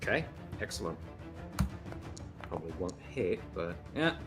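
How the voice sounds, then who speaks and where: A man's voice: low-pitched, sing-song, moderate pace, music in the background. A man chatting and joking casually as a player in a tabletop game.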